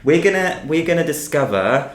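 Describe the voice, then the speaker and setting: A man's voice: a little high, animated, moderate pace. A man from Austria co-hosting a casual podcast, talking with many ums and restarts in a small office. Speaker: podcast co-host. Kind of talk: casual chat. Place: small echoey office by a street. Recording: echoey room.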